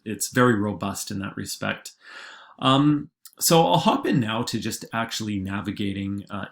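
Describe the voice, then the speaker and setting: A man giving an informal software tutorial as a presenter, explaining in a halting way with frequent filler words. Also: fairly low pitch, gravelly. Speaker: digital marketing agency presenter.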